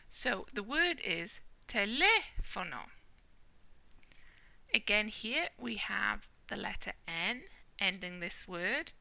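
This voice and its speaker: A British woman teaching a Spanish lesson, explaining clearly and checking in with the listener as she goes. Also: mid-range voice, sharp tone, no room sound. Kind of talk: whiteboard language lesson.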